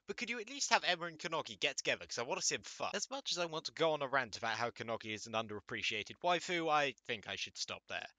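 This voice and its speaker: A man's voice: medium pitch, bright, forceful tone, no echo, low-quality recording. A man hosting a scripted comedic commentary in a sarcastic, mock-exasperated, sweary way. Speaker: host of an anime commentary video channel. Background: music under the voice.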